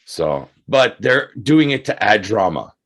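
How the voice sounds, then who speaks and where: Male guest reviewer, fairly low voice, agreeable sidekick in a relaxed film chat. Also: measured pace. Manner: agreeable, often backing up the other speaker. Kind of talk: casual chat.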